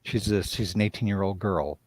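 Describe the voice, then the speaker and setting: A man's voice: low voice, a little fast. A man, a writer and director, speaking reflectively in a live-stream interview and often trailing off mid-thought.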